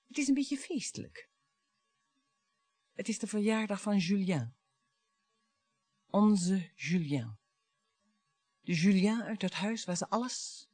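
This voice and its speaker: Bitter wife, wildly swinging delivery. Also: female voice, lowish voice, breathy edge, no echo, full character voice. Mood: brittle, sarcastic. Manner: theatrical, sarcastic, swinging from hushed to emphatic. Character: bitter, wounded wife and mother reliving marital cruelty. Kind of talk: radio drama acting, dramatic monologue.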